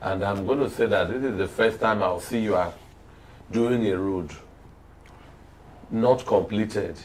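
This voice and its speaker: A man's voice: on the low side, measured pace, a little mellow, slight background noise. A man with a Nigerian accent speaking as a panel guest on politics, sardonic and full of pointed questions. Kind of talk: political discussion.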